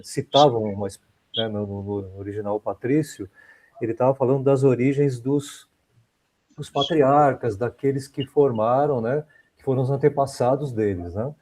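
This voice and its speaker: A man's voice: mid-range voice, moderate pace, dark tone. A man in a study group on a video call, explaining a word while thinking aloud with many restarts.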